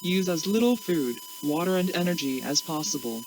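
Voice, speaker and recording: A man acting as a grave government spokesman with a notably high voice for a male. The delivery is a formal, solemn scripted emergency address in measured phrases, with a faint noisy hum underneath. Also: lively intonation, lightly acted.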